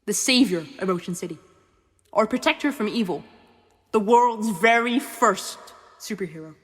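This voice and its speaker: Woman as formal event host, long hall reverb, lowish female voice, theatrical, gracious, radio play. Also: expressive, moderate pace, lightly acted. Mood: grand, welcoming. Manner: ceremonious, theatrical. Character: gracious, ceremonious civic host of a grand gala.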